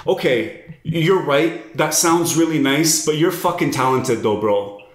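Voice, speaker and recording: Young male host from Lebanon, casual and enthusiastic, echoey room sound. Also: a little high. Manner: enthusiastic, uses "bro", quick bursts. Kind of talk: casual interview.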